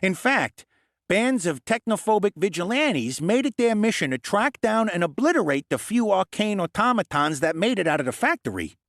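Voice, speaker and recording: Guy doing a smart impatient goblin explaining stuff, higher voice, dry sound. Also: sing-song, normal speed, a bit bright, dry recording, full character voice. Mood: lecturing. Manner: explanatory, know-it-all. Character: impatient clever male goblin, lecturing.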